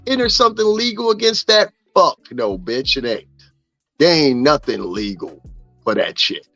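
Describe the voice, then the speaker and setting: A man's voice: on the high side, sing-song, moderate pace, sharp tone, no room sound, highs cut off, faint music behind. A man ranting profanely in casual gossip commentary, often asking if his listeners understand what he's saying. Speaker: commentary streamer.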